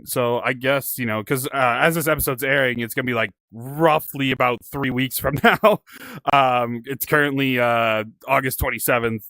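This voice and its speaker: A man speaks as a casual interview host. His voice is bright and forward at an average male pitch, captured very dry. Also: no room sound.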